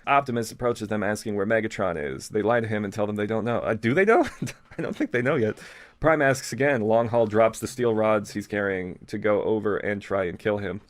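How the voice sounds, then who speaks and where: A man reads fan fiction aloud for comedy in a slightly low voice. He moves at a brisk clip and breaks off for casual, mocking asides. The sound is close and dry, with hardly any room in it. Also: no echo. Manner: mocking, ad-libs jokes while reading.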